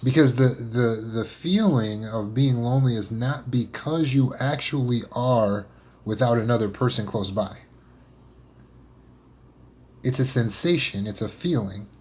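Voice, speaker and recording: A man speaking as a motivational speaker, in an earnest, unscripted solo talk. He repeats words as he thinks aloud, slows down on key phrases and speeds through lists. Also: medium pitch, breathy edge.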